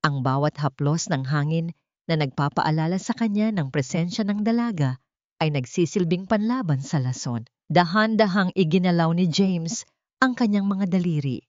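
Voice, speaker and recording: A woman's voice: lowish voice, expressive, moderate pace, darkish tone, no room sound, dull audio. A woman narrates a scripted dramatic story in a solemn, theatrical manner. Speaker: audiobook narrator.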